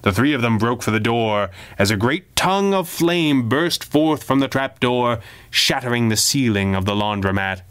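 A man reading a pulp adventure story as a dramatic, theatrical and expressive narrator. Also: mid-range voice, normal speed, few pauses, clear, resonant tone. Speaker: audiobook narrator.

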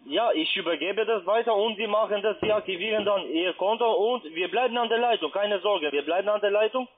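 A man with a foreign accent speaking non-native German as a call centre agent, insistent, halting and repeating himself in an unscripted sales pitch. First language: not German. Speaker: call centre agent posing as customer adviser. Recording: tinny band-limited phone line.

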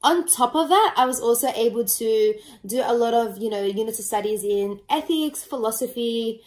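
A woman's voice: fairly high pitch, moderate pace, a little mellow. A woman in her twenties, a university student speaking enthusiastically about her studies into a webcam. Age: 20s.

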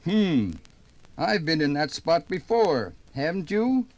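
Casting: male 1950s radio host, folksy and conversational, fairly high voice, very lively. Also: slow, no room sound. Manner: chatty, conversational.

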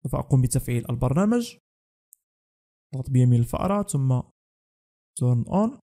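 A man's voice: medium pitch, sing-song, fairly quick, mellow. A young man narrating a scripted tech tutorial as the host of a channel.

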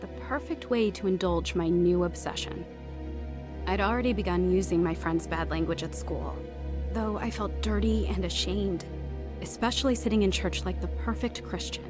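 A woman's voice: mid-range voice, moderate pace, over music, lightly acted. An actress playing a troubled young woman recalling her secretive teen years in a scripted audio drama. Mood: reflective. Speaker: narrator and lead actress.

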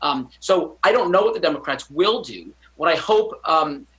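A man's voice: a little high, expressive, normal speed, a bit bright, rough recording. A man in his thirties, a physician, answering news interview questions over a video call from a home study, hedging with many ums and restarts. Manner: hesitant, many fillers and restarts. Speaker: physician guest commentator.

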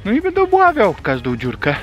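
A man's voice: sits high, wildly swooping pitch, a little fast, highs cut off, soft background music. A man in his thirties filming a fishing vlog outdoors by a small river, chatting and explaining as he fishes. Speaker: fishing vlogger. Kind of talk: casual outdoor vlog talk.

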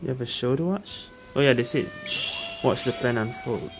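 A woman's voice: very deep voice, moderate pace, poor audio. A woman game streamer giving casual commentary as she plays. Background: game music.

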